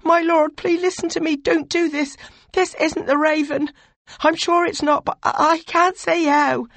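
A woman's voice: high-pitched, fairly even intonation, unhurried, a bit bright, no room sound, voice pushed up. A woman voicing an anxious young aide who urgently begs his lord. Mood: desperate. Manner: urgent, pleading.

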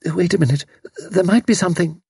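A man's voice: high voice, fairly quick, gravelly, a little mellow, husky, dry recording, studio quality, pitched above the performer's own voice, full character voice. A man voicing a distraught, panicked and weepy young mother in an audiobook, with a breathless, wailing manner. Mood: frantic. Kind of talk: audiobook character voice.

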